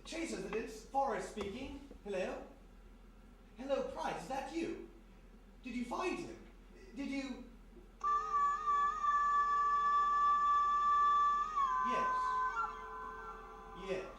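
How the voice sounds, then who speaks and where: A man playing a nervous, bashful young embassy bureaucrat in love, with halting speech, in old-time radio style. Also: extremely high pitch, lively intonation, voice pushed up. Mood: businesslike, tense. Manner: halting, stammering. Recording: very echoey live room, distant mic, noisy.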